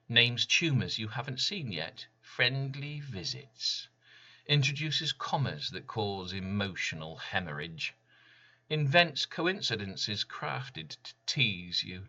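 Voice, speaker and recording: A man with a lowish, forceful voice recites poetry theatrically. He goes slowly, leaving pauses between phrases, and the sound is slightly dull. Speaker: poet reading own work.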